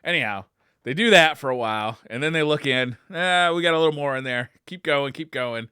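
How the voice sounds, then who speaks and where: A man co-hosting a podcast in casual chat, joking and swearing freely in an animated way. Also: fairly high pitch, a little fast, clean tone, no room sound, clean studio sound. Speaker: podcast co-host.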